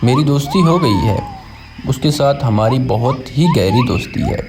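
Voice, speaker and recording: A man's voice: medium pitch, huge pitch swings, moderate pace, background noise. A man voicing a loyal but torn crocodile in a children's story, earnest and reasoning. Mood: protesting.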